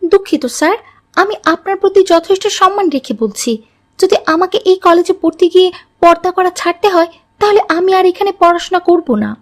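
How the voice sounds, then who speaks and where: Woman voicing a very high-pitched earnest teenage girl. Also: fairly even intonation, brisk. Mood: polite, firm. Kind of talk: moral-tale cartoon dubbing.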